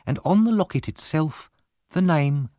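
A calm, bold woman who shows no fear, played by a man reading aloud in a raised voice. Her firm lines swing lively in pitch, in a dry close sound. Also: a little slow, dry recording.

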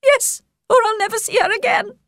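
A woman voicing a shrill, self-pitying middle-aged mother who complains loudly and dramatically. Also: fairly even intonation, fairly quick, dry recording, studio quality. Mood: pleading, indignant. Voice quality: shrill, whining. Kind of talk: audiobook character voice.

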